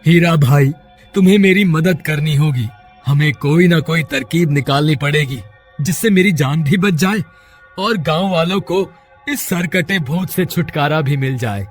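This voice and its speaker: A man's voice: a little high, a little slow, soft background music. A man voicing a frightened village man in a horror cartoon, pleading in a frantic, whiny manner. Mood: worried. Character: panicky, pleading unmarried village man fleeing a ghost. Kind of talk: Hindi horror cartoon character voice.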